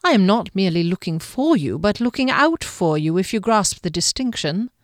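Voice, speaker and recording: A woman voicing a tall, mysterious male guardian in an audiobook, smooth, formal and courteous. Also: medium pitch, sing-song, moderate pace, dry recording, clean studio sound, natural voice. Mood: courtly, protective. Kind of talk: audiobook character dialogue.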